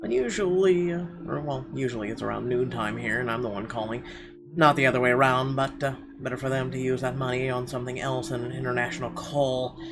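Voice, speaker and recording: A man reading a horror visual novel aloud in an expressive, theatrical narration with weighty pauses. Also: medium pitch. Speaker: let's player. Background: game music.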